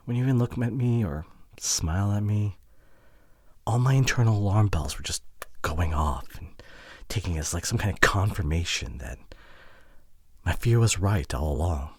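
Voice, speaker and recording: Man acting as husky, low-voiced, hurting young boyfriend. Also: no room sound, clean studio sound. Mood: regretful, tense. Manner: halting, hushed, emotionally vulnerable delivery. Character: hurt, frustrated introverted boyfriend pleading with partner. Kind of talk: intimate ASMR roleplay audio drama.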